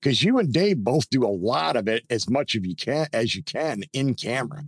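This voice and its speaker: A man's voice: medium pitch, lively intonation, normal speed, husky, no room sound, studio quality. A man hosting a podcast interview, chatting casually and praising his guest with enthusiasm. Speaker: podcast host.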